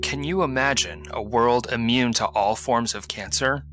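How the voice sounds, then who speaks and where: A man's voice: medium pitch, moderate pace. A man reading a scripted charity promo as an announcer.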